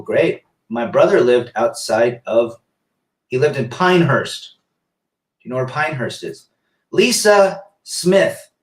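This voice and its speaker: Echoey livestream host reading chat. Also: male voice, medium pitch, distant mic. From south-central Pennsylvania, US. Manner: reads viewer comments aloud and answers them. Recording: echoey room.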